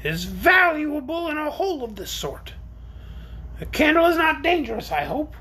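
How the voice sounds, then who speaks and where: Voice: high voice, sing-song, normal speed, bright, forceful tone, pitched above the performer's own voice, full character voice. A woman voicing a vain, chatty flying creature from a storybook, nervous yet brave, in an exclamatory, self-important way. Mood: nervous curiosity.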